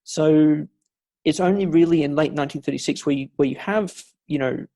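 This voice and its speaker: A man's voice: a little high, normal speed, warm tone, dry recording. A man speaking as a historian guest in a conversational podcast interview, explaining at length with frequent fillers and restarts. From not from Scotland, Scottish family.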